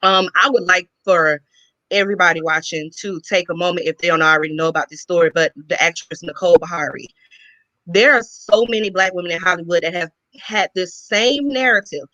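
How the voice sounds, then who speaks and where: A woman calling in to make an earnest, emphatic case. Her voice is bright and tense. It comes through as a dry, dull, lo-fi call. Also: lowish voice, a little fast, dry recording. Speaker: guest commentator. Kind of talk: impassioned commentary.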